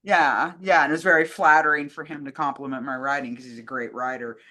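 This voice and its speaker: Woman, very bright voice, low-key co-host chat, enthusiastic, rambling. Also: fairly even intonation, fast. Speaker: co-host answering fan questions. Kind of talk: casual chat.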